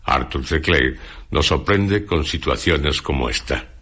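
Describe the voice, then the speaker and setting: A man with a Castilian Spanish accent reading a scripted radio documentary narration in a measured, formal, literary way. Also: rumbling low, pushed and bright, breathy edge.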